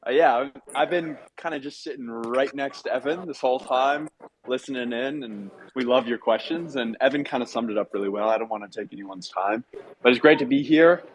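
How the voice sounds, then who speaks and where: A man's voice: medium pitch, brisk, poor audio. A man speaking as a guest in a casual group chat, humble and a little hesitant.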